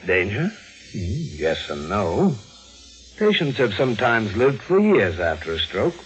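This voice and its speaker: Deliberate, professional physician in a vintage radio drama. Grave, measured speech in a low register. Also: male voice, wildly swooping pitch, highs cut off. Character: grave, deliberate family doctor. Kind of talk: 1940s radio mystery acting. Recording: vintage radio recording with music.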